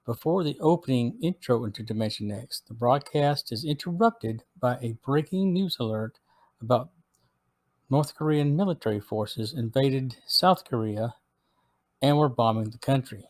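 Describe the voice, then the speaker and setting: Male podcast host, scripted review, measured read, mid pitch. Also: a little mellow, no room sound. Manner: measured, read-aloud delivery.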